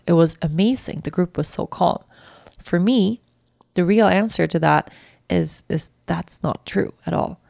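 A woman in her thirties from Sweden with a Swedish accent, hosting and talking candidly and reflectively, halting and restarting as she thinks. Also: lowish voice, vocal fry, dry recording, studio quality. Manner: candid, halting, self-reflective with frequent restarts and soft laughs. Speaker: podcast host. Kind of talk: personal reflective monologue.

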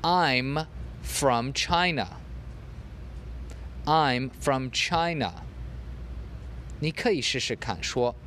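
A man teaching a podcast language lesson, repeating example phrases slowly for learners. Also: medium pitch, a bit bright, a little hiss or hum.

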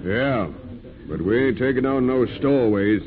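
Surly seaman male actor, rough and mocking, folksy speech, vintage radio drama with narrow sound. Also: medium pitch, lively intonation, moderate pace, lightly acted. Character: surly, mocking tough whaling sailor. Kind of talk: old-time radio drama acting. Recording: muffled old radio, crowd behind.

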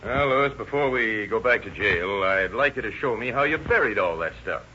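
Shrewd American radio-drama policeman, confident and cajoling, lively pitch, muffled vintage recording. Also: moderate pace, soft background music. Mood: smug. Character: shrewd, confident police officer working a con. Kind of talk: 1930s radio acting. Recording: muffled, noisy 1930s radio broadcast.

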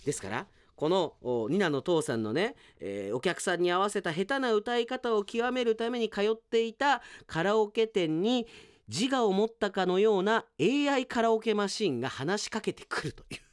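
A man hosting a radio show, chatting casually as he reads out listener mail and comments on it in an animated, chatty way. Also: high-pitched, normal speed, smooth, no echo, clean studio sound. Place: dry radio studio.

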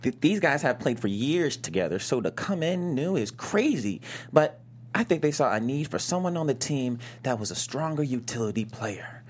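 An actor reading a brash, foul-mouthed young male gamer in a table read, combative and sarcastic, with a husky voice. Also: medium pitch, sing-song, normal speed. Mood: boastful.

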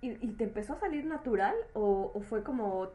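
A woman's voice: mid-range voice, lively intonation, brisk, warm tone. The woman you'd hear hosting a laid-back interview podcast, Latin American accent, mid-range voice, chatting with her guest. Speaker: podcast host. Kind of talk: casual chat, interviewing.

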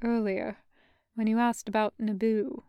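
A woman voicing a composed, restrained Jedi man, flat and dryly understated, in a sci-fi audiobook. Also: a little high, measured pace, clean tone, no room sound, highs cut off. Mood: void of emotion.

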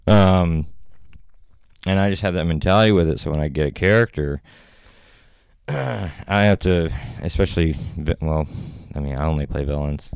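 Very deep gravelly man, podcast guest. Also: moderate pace, a little mellow, no echo. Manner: laid-back, rambling, laughs often. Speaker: actor and filmmaker guest. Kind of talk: casual podcast chat.

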